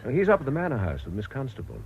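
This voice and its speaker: An actor playing a brisk, inquisitive organiser with a British accent and a dramatic, probing manner in a radio drama. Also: male voice, medium pitch, huge pitch swings. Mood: decisive. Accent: British English. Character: brisk, inquisitive man in charge, urbane organiser. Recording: dull lo-fi radio recording with noise.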